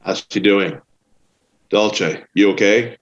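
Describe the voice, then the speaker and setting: A man reading a deep, steady Texas Ranger. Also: takes it slowly. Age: 50s. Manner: calm, low, reassuring. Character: fifties Texas Ranger stepfather, steady and tough. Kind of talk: screenplay table read. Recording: dull, lo-fi sound.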